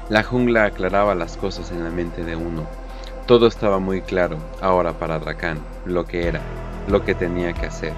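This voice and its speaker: A man narrating a dramatic war story as a scripted audio drama, solemn and deliberate. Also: low voice.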